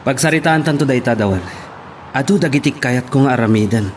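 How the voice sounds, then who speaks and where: A man's voice: medium pitch, a little fast, natural voice. A man with an Ilocano accent acting the troubled son of a crime boss in a radio drama. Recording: clear background noise.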